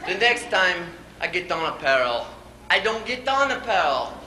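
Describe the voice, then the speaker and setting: A man puts on a stout farmer, telling comic tales in mangled English. His voice is high and swings up and down with a quick temper. The recording is echoey. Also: sing-song, sharp tone, a little hiss or hum, exaggerated voice. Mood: wry storytelling. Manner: broken English with comically muddled grammar. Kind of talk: comic dialect monologue.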